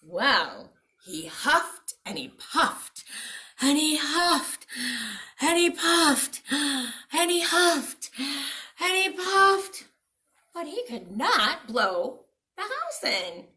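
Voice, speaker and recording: A woman storyteller reading a children's picture book aloud in an expressive, dramatic way, addressing young listeners. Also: high-pitched, extremely slow. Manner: dramatic, asks the listeners questions.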